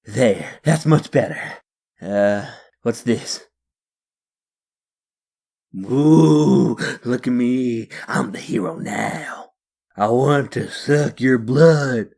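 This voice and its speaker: A wisecracking street vagrant played by a man in a comic dub. His voice is gravelly and creaky at a middling pitch, and he drawls his words out slowly and easily. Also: a little mellow, exaggerated voice. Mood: clowning. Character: wisecracking, easygoing vagrant storyteller.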